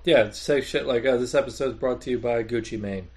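A man's voice: medium pitch, fairly quick, darkish tone. Dry-witted man making wry asides. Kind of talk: casual group chat.

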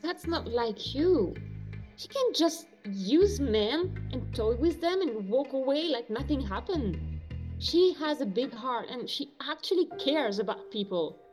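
A woman's voice: sits high, animated, unhurried, a little mellow, low-quality recording. A woman acting a caring young peacemaker in an audio drama, hesitant and pleading with her friends. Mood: worried, reproachful. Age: young adult. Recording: music behind the voice.

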